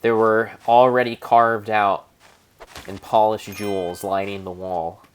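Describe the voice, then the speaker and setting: A man narrating his own gameplay as a solemn, theatrical dramatic reading, with pauses and small slips. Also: on the low side, level tone, clean tone. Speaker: game streamer narrating play. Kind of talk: mock-dramatic storybook narration over gameplay.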